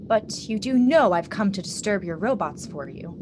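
A woman's voice: mid-range voice, expressive, normal speed, close to an everyday voice. A woman acting an earnest, compassionate young idealist in a radio drama, questioning as she speaks. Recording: clearly noisy live drama recording.

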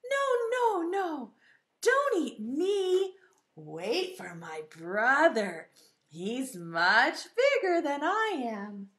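A little storybook billy goat voiced by a woman, timid and begging. The pitch sits very high and swings about, and the room adds clear echo. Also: sing-song, fairly bright tone, heavily acted. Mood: pleading. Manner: high, pleading, drawn-out begging. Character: small young male billy goat, timid and pleading. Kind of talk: children's storybook voice. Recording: echoey room.

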